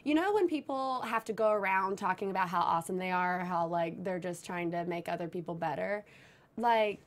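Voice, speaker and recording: A woman's voice: fairly low pitch, brisk. A woman co-hosting a reality show recap, giving frank opinions in casual chat. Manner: candid, opinionated, mimics people. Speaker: co-host.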